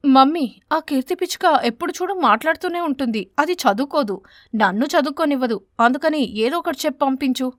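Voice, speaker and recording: A woman voicing a young schoolboy pigeon in an animated story, insistent and grumbling. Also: high-pitched, brisk, no echo, clean studio sound. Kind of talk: animated bedtime story character.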